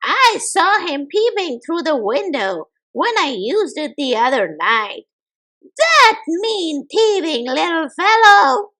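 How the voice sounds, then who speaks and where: A woman's voice: very high-pitched, very animated, takes it slowly, sharp tone, full character voice. A woman voicing a stern old woman who knows magic spells and scolds firmly in a storybook. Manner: firm, scolding, matter-of-fact.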